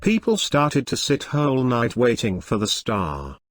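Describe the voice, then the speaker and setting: A man's voice: mid-range voice, wildly swooping pitch, unhurried. Male storyteller over faint music. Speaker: narrator. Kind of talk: scripted moral story narration.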